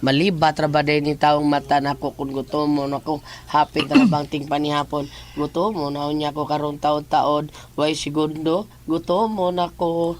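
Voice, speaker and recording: A man's voice: on the high side, fairly even intonation, normal speed, close to an everyday voice. A man playing a hungry, nervous, nagging fellow in an audio drama. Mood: impatient, nagging. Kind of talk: Filipino audio drama dialogue.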